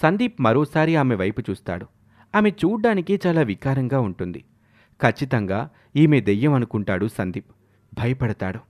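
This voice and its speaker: A male storyteller with a medium-pitched voice reads a scripted folk-style tale. His narration is dramatic, carrying the listener through a magical moral story. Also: very animated, moderate pace, darkish tone.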